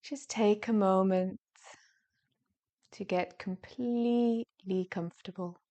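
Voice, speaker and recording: A woman speaks very slowly in a dark, mellow tone as she guides a deep relaxation. Her delivery is gentle and soothing, with soft, breathy phrase endings. She leaves long pauses between short phrases. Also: fairly even intonation. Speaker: yoga nidra meditation guide.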